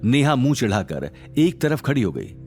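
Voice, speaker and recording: Expressive male story narrator with lively pitch. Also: moderate pace, faint music behind. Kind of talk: dramatic Hindi story narration.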